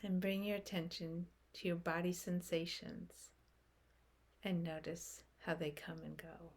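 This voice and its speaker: A woman leading a guided meditation in a gentle, unhurried manner, speaking in short phrases with long pauses. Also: fairly low pitch, little pitch movement, breathy edge.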